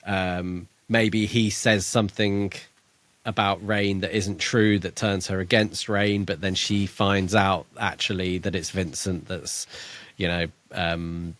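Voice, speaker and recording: Low-voiced man chatting on a podcast. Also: little pitch movement, takes it slowly, fairly bright tone, dry recording. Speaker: podcast co-host. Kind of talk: casual book discussion.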